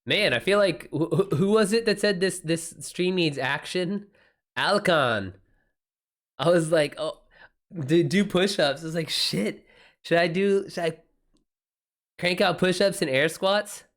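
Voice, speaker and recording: A man's voice: high-pitched, measured pace, fairly bright tone. A man hosting a livestream, chatting casually with viewers in loose slang and swearing freely. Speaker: livestream host.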